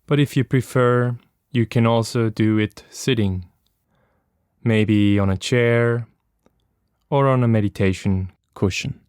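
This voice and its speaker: A man guiding a body scan meditation as a teacher, giving gentle, unhurried instructions with long pauses. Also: mid-range voice, steady tone, clean tone, dry recording, studio quality.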